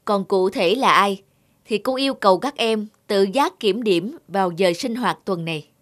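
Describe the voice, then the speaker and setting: A stern cartoon schoolmistress, acted by a woman, with a mid-pitched voice. She speaks in a measured, instructive way over clear background music. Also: close to an everyday voice. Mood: stern, disappointed. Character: stern, disappointed female schoolteacher. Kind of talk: animated cartoon dialogue. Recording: music bed under the voice.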